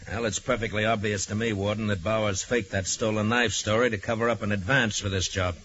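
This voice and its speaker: A man's voice: on the low side, fairly even intonation, fairly quick, natural voice. A man playing a hard-line, scornful prison captain, blunt and accusing, in 1940s radio drama style. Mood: stern, confident. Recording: noisy, dull vintage 1940s radio recording.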